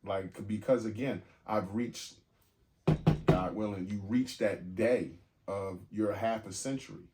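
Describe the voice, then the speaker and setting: A man's voice: on the low side, takes it slowly, very noisy. A man in his forties co-hosting a podcast, talking in a candid, casual way, cursing freely and laughing easily. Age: 40s. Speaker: podcast co-host. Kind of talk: casual chat.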